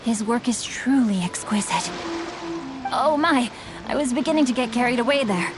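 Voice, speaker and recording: A woman's voice: a little high, lively intonation, normal speed, music in the background, close to an everyday voice. A woman voicing a polite, bookish and earnest boyish young nobleman in a video game, speaking in a formal, eloquent way. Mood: admiring. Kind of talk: video game character acting.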